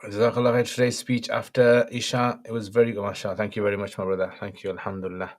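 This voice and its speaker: Croaky-voiced man answering questions. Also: on the low side, flat delivery, fast talker. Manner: brief, matter-of-fact answers. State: slightly croaky voice. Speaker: Islamic scholar answering viewer questions. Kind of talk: livestream Q&A, reading out questions.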